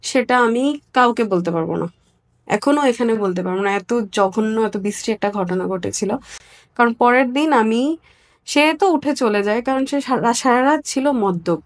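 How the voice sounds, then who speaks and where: A woman from Bangladesh giving a personal account as an interview guest, candid and somewhat halting. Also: a little high.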